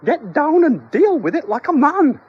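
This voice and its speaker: A man's voice: sing-song, normal speed, lo-fi sound. A man voicing a panicky, bossy middle-aged wife who screeches and scolds. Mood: exasperated. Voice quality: shrill, screeching. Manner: bossy, exasperated.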